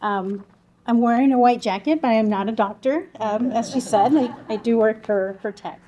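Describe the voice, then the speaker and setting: A woman in her forties, a native Spanish speaker who grew up on the Texas-Mexico border, presents on a conference panel. She talks quickly and earnestly, with small stumbles, in a voice that sits a little high. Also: warm tone. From Texas-Mexico border, Southwest Texas. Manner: quick, earnest, slightly halting speech. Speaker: panelist, volunteer group lead.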